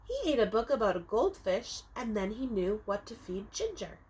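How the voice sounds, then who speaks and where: A woman teacher reading a picture book aloud to young children, expressively, with dramatic emphasis and drawn-out words, speaking to them directly. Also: mid-range voice, big pitch swings, darkish tone, a little hiss or hum, rough recording. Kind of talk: animated children's storybook read-aloud.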